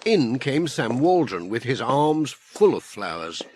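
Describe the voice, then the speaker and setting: A man's voice: medium pitch, sing-song, a little slow. A man reading a scripted children's story as the audiobook narrator.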